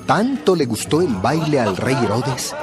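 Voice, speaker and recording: A man's voice: medium pitch, very animated, unhurried, over loud music. A man narrating a dramatized Bible story from a script.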